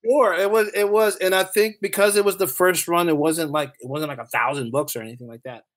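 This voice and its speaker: Informal male interview guest, an author type in casual chat, voice a touch high, phrases often doubled. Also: steady tone, fairly quick. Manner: informal, repeats phrases as he goes. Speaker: podcast guest, author and audio dramatist.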